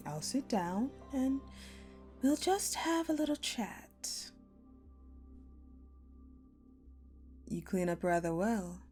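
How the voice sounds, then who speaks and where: Woman acting a slow, sadistic ice-queen general. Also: medium pitch, very animated, darkish tone, faint music behind. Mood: cold, toying menace. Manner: haughty, taunting, deliberate, often dropping to a breathy murmur. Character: sadistic, imperious ice-queen general toying with her prisoner. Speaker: voice actor in audio roleplay. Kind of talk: dramatic audio roleplay acting.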